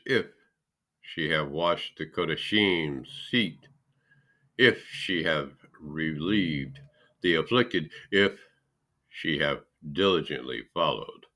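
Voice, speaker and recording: Very deep, gravelly man reading scripture. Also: sharp tone. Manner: emphatic, deliberate phrasing with pauses. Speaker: scripture reader. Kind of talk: solemn Bible reading aloud.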